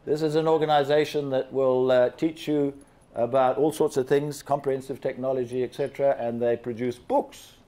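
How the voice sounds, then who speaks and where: An older man from Zambia giving an animated slide presentation to a seated audience in a large banquet hall, speaking into a handheld microphone and asking the listeners questions. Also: mid-range voice, normal speed. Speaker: guest speaker.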